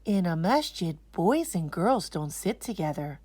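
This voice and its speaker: A woman playing a patient, gently correcting mother in a children's storybook, explaining and instructing. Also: on the low side, very animated, unhurried, no room sound.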